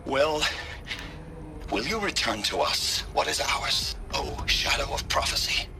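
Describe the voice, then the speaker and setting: A man's voice: mid-range voice, unhurried, vocal fry, loud music behind the voice. An actor playing a mad, pompous sun-king who speaks in grandiose, archaic phrases and rises to cries. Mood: fervent.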